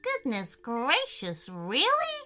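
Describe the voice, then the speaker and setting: A woman's voice: high-pitched, sing-song, slow, pushed and bright, soft background music, voice pushed up, exaggerated voice. A woman voicing a kindly, theatrical talking female lamp in a children's story, given to exclamations. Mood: alarmed.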